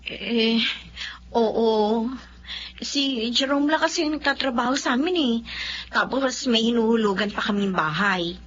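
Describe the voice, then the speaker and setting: High-pitched, pushy young Filipina wife. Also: female voice, unhurried, a bit bright. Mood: hesitant, deferential. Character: pushy, money-minded young wife and mother, easily exasperated. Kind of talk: Filipino radio drama acting. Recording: muffled, clearly noisy radio drama audio.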